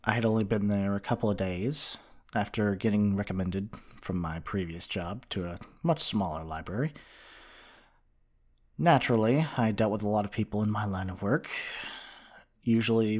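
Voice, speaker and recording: Man playing a low-voiced flirty dragon-blooded librarian. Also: darkish tone, natural voice. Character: flirty, possessive half-dragon male librarian, earnest and affectionate. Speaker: ASMR roleplay performer. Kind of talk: ASMR romantic roleplay monologue.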